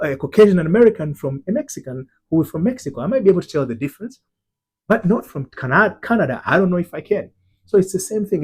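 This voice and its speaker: A man's voice: on the high side, darkish tone, husky. A man from Tanzania, working as an identity transformation coach, gives an earnest teaching talk, stressing single words and often restarting and repeating himself.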